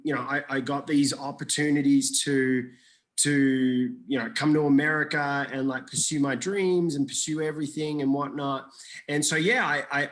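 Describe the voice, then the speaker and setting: A man's voice: on the high side, fairly bright tone. Joking, rambling man. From Iraq. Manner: rambling, repeats words and restarts, joking. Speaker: guest. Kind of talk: casual interview storytelling.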